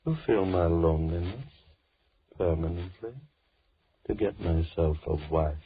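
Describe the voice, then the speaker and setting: Villain for vintage radio thriller: a lowish-voiced, courteous madman, measured and mannered, muffled recording. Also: slightly rough, no echo, full character voice. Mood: calmly threatening. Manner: measured, mannered, politely menacing. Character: soft-spoken, menacing madman holding two girls captive. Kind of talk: old-time radio drama acting.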